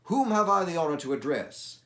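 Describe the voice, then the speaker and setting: A man voicing a brisk, self-assured male detective who speaks crisply and emphatically, with dry confidence. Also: a little high, big pitch swings, a bit bright, pitched above the performer's own voice. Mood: brisk, commanding. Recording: echoey room.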